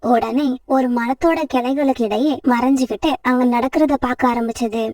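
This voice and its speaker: A woman narrates a scripted children's story. Her voice is high, and the sound is dry with a little distance from the microphone. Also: moderate pace, darkish tone, dry recording.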